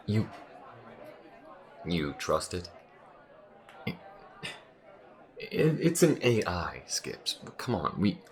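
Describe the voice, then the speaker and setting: A man playing a wary, protective alien crewmate in an audio roleplay, speaking in a halting, guarded, sardonic way. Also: very deep voice, gravelly, heavily acted. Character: wary, protective male alien crewmate, gruff but caring.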